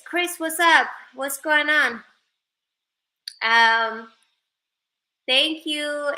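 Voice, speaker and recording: Young woman from sweden, livestream chat, slow and jokey, kinda high bright voice. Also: rough recording. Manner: slow, joking, playful, reads viewer comments. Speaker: live streamer. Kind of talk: casual livestream chat with viewers.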